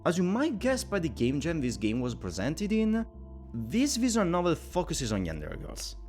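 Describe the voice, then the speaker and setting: A man's voice: on the high side, huge pitch swings, normal speed, darkish tone. A man narrating a scripted video game review in a wordy, opinionated, somewhat formal way. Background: background music.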